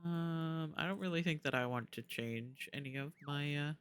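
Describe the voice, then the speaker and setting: Bright-voiced man streaming a game. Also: on the high side, moderate pace, clear, resonant tone. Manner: informal, rambling, swearing. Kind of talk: casual live-stream chat while gaming.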